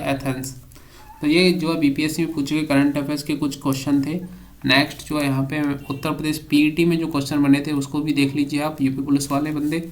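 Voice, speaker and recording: A man teaches an exam class by reading out questions and answers. His voice sits a little high for a man. The delivery stays very even, with little melodic movement.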